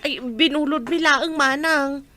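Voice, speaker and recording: A woman's voice: high voice, unhurried, pushed and bright, slight background noise. A woman playing a scolding, evasive village wife with an Ilocano accent in a comedy radio drama. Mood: anxious.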